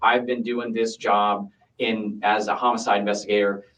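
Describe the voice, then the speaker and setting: A male homicide investigator questioning a suspect in a police interview, haltingly repeating words as he reasons. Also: fairly low pitch, flat delivery, fairly bright tone. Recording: distant interrogation room microphone.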